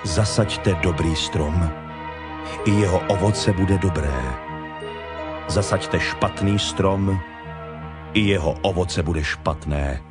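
A male actor plays a solemn, authoritative holy man in a dramatized scripture recording. His fairly low-key, deliberate declamation is stern and grave. Clear music plays behind him. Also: natural voice. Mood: stern, warning. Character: Jesus, solemn, stern, authoritative preaching teacher. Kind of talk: dramatized Bible reading in Czech.

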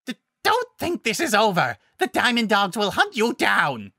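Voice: medium pitch, wildly swooping pitch, slow, vocal fry, bright, forceful tone, dry recording, studio quality, voice pushed up, heavily acted. A narrator voicing a fierce, proud male werewolf pack alpha in a fantasy story, with a barking, menacing, blustering manner. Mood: furious, yelling. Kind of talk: audiobook-style fantasy story character.

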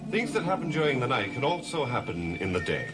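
A man's voice: medium pitch, sing-song, fairly quick, over music, lightly acted. An actor playing a proud, mocking man of a cursed family in a gothic soap opera, sardonic and theatrical. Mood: mocking, defiant.

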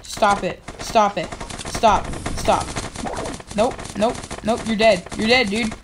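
A young woman with a slightly raspy voice, giving casual commentary over her own gameplay and yelling at other players. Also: mid-range voice, background noise. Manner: excitable, yells at other players, quick laughs. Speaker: gaming channel host.

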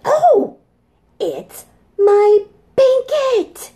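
A woman voicing a fussy young dinosaur from a picture book, exclaiming loudly in drawn-out words. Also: very high voice, huge pitch swings, voice pushed up, heavily acted. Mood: delighted.

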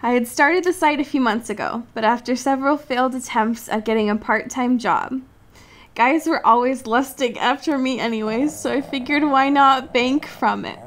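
A woman's voice: sits high, moderate pace. A woman podcast co-host who chats casually and reads aloud in a giggly, embarrassed, apologetic manner. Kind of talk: casual chat with some reading aloud.

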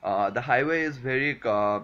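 A man in his twenties with a Nepali accent gives a casual, unscripted product review in a halting, hesitant way, with many fillers. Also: mid-range voice, a bit bright, clear, resonant tone, lo-fi sound. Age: 20s. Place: small furnished room indoors.

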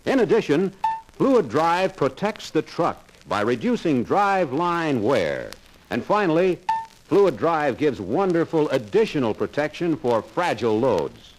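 A man's voice: on the high side, a little hiss or hum, poor audio. A man narrating a 1950s sales training film in a confident, persuasive salesman's manner.

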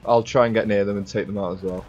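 A man's voice: on the low side, fairly even intonation, fast, lo-fi sound, noisy background. A young man chatting casually as he improvises through a game roleplay. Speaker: gamer in roleplay series.

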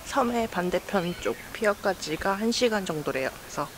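A woman's voice: medium pitch, expressive, normal speed, crowd noise. A woman travel vlogger chatting and narrating casually, in a blunt, informal way. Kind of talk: casual vlog chat and narration. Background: music.

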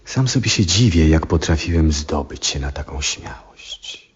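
An actor playing an aging, troubled, devout astronomer in a 1970s radio drama, speaking in a solemn, reflective and husky way. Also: male voice, rumbling low, lively intonation, exaggerated voice. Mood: wondering, resolute. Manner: solemn, reflective, theatrical, deliberate phrasing. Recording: dull vintage radio recording.

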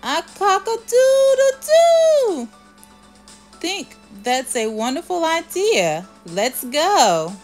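Storybook rooster, played by a woman: high, excited, crowing and sing-song, music underneath. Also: very slow, heavily acted. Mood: delighted. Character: eager singing rooster with crowing calls.

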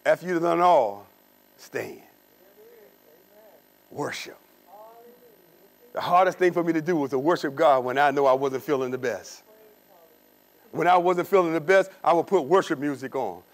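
A man's voice: on the high side, moderate pace, pauses often. A man in his sixties preaching a sermon in a church, emphatic, with call-and-response questions. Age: 60s. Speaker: pastor. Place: church sanctuary with stone wall.

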